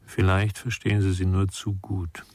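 A composed female counsellor in a radio crime drama. Her voice is very low for a woman. She speaks in a measured, questioning way that probes the listener. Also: level tone, darkish tone, a little hiss or hum.

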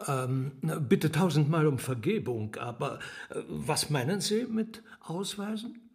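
A roguish trickster in a radio play speaks in a rather high voice. He is flowery and mock-courtly, stretching out his hesitations with theatrical relish. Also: lively intonation, a little slow, vocal fry. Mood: mock-courteous. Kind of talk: radio drama acting.

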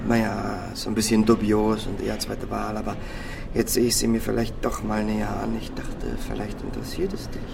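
An actor playing a casual, persuasive heist partner with an offhand, colloquial way of talking in a crime radio drama. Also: male voice, lowish voice, monotone, fairly quick, vocal fry, noisy background. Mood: casually persuasive. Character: casual male heist partner pitching a job.

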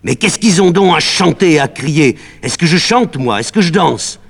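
A man reading a play aloud, voicing a proud, anguished sorceress woman in an impassioned, declamatory way that swings from cries to hushed pleading. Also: a bass voice, steady tone, moderate pace, husky.

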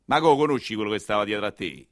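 An actor voicing a gruff, suspicious gendarme in a theatrical monologue, firing curt, bullying questions. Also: male voice, lowish voice, lively intonation, quick pace, dry recording, studio quality, pitched below the performer's own voice, close to an everyday voice. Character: gruff, suspicious papal gendarme interrogating a bystander.

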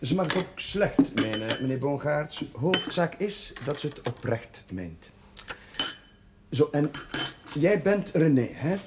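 An actor playing an earnest, polite but exasperated village chaplain in a television drama, courteous and hesitant. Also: male voice, a little high, big pitch swings, slow, very noisy. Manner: courteous, hesitant under attack.

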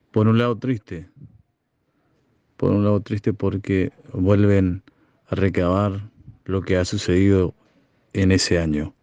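A man from Chaco, a community broadcaster giving slow, halting, solemn testimony. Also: low-pitched, dark tone, no echo. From Chaco, Argentina. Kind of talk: recorded testimony.

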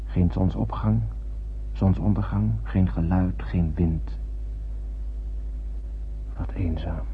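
An actor playing a brooding young spaceship crewman, narrating in a solemn, poetic and measured way in a radio drama. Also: low-pitched, fairly even intonation. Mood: solemn, lonely. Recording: muffled, hissy old radio drama recording.

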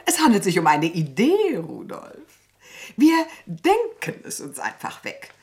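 Grand, commanding lady, very animated. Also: on the low side, measured pace, exaggerated voice. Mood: imperious. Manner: pompous, legalistic, condescending. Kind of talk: German radio play acting.

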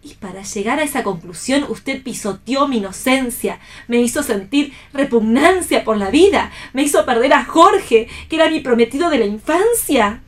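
Wounded, proud young bride, high-voiced. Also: female voice, lively intonation, normal speed, hardly any pauses. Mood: indignant. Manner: dramatic, emotive delivery. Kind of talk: staged reading of a stage play for radio.

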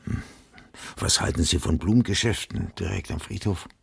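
An actor in a radio play as a chatty, unruffled, slightly odd postman, husky and gravelly, with a dry, matter-of-fact manner. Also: deep voice, normal speed, full character voice. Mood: whimsical, unruffled. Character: chatty, odd, unruffled male letter carrier. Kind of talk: radio drama acting.

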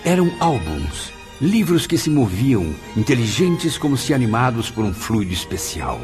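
A man's voice: medium pitch, big pitch swings, normal speed, music in the background, natural voice. An actor playing an earnest male spirit with a Brazilian accent, recounting his afterlife studies in a formal, reflective radio drama style. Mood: marvelling. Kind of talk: radio drama narration in character.

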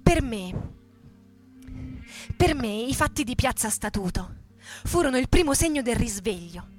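An actress giving an emphatic, indignant radio theatre reading as a young militant recalling street riots. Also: female voice, a little high, animated, unhurried, faint music behind. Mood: intense, proud. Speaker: actress and narrator.

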